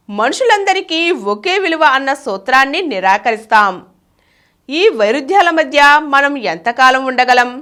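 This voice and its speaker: A woman's voice: high voice, sharp tone. A woman reading a written essay aloud as formal scripted narration, stressing key terms.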